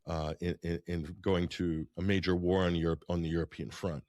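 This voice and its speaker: A man's voice: sits low, flat delivery, normal speed, darkish tone. A man speaking off the cuff as an interview guest, commenting on politics.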